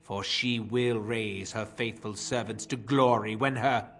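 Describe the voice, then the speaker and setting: An actor playing a solemn, zealous village cult priest in a video game, preaching in a grave, pompous and formal way. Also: male voice, on the low side, steady tone, unhurried, fairly bright tone. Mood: fervent, reverent.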